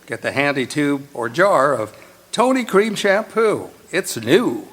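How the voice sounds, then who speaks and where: A man's voice: on the high side, big pitch swings, a little slow. A man announcing as the host of a live radio theatre show, in an old-time radio advertising style. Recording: live stage performance.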